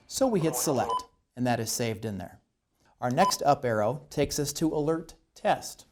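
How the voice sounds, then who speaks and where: A man presenting a step-by-step instructional demonstration, explaining each step deliberately. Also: mid-range voice, noisy background.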